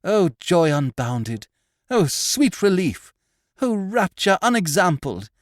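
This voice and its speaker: A pompous young hero declaims in grand, theatrical lines, voiced by a man. His pitch leaps around with drama. The sound is close and dry. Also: very animated, takes it slowly, no echo, heavily acted. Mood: grandiose.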